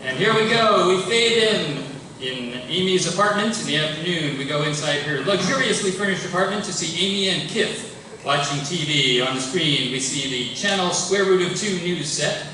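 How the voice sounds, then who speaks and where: A man's voice: a little high, lively intonation, moderate pace, bright, forceful tone. A man reading scripted stage directions as narrator of a live comedy table read. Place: indoor live event before an audience. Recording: echoey hall, distant mic, clear noise.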